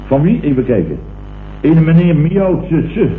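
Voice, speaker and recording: An actor playing a pompous, blustering, self-satisfied boss who stammers and repeats himself in a vintage Dutch radio comedy. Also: male voice, on the high side, big pitch swings, moderate pace. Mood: pompous enthusiasm. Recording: muffled, echoey, hissy vintage radio recording.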